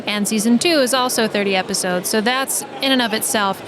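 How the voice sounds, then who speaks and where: A woman's voice: a little high, a little fast. A woman from New Mexico with an American accent, chatting casually as an interview guest. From New Mexico, USA. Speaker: guest, audio drama creator and writer. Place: live at an event being packed up. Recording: clattering teardown noise, busy event. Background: things dropped, loud ambient clatter.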